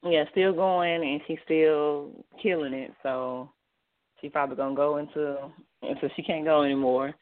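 A woman in her twenties from Texas, answering interview questions over the phone and pausing often on ums. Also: deep voice, steady tone. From Dallas, Texas. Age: 20s. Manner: hesitant, many ums, soft-spoken. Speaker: guest model. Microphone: phone line. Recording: tinny phone line.

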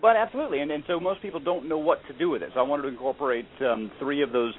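A man's voice: on the high side, rapid-fire. A young adult man, a guest chef, chatting casually and joking, with lots of fillers. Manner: easygoing, joking, many fillers. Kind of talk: casual phone interview. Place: Atlanta. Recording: tinny phone line, faint hiss.

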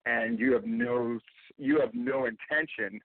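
A man's voice: medium pitch, unhurried, creaky. A man hosting a talk show, eagerly firing off questions to his guests with lots of restarts. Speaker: radio talk show host. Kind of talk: interview host chat. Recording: tinny phone-like line, dry.

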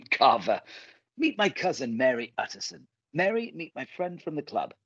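Guy doing friendly victorian dinner host, super expressive, bit husky, slightly muffled dry recording, radio drama. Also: mid-range voice, normal speed, no room sound, full character voice. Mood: welcoming. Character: genial Victorian gentleman host, proud of his table. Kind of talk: radio play acting.

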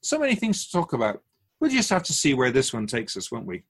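A man playing a wise, otherworldly spirit in a radio drama, patient and gently teasing, speaking in a measured, formal way with musing questions. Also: medium pitch, huge pitch swings, husky.

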